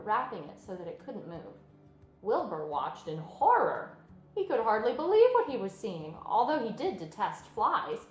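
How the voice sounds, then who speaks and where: A woman narrating a children's story aloud in an expressive storybook style. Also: mid-range voice. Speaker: storybook reader. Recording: roomy sound with music underneath.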